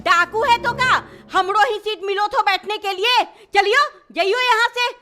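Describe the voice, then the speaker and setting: A woman's voice: very high-pitched, normal speed, bright, forceful tone, soft background music. A woman voicing a bossy, quarrelsome village mother in a cartoon, speaking a rural Hindi dialect in a scolding, brash manner. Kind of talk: exaggerated cartoon dialogue.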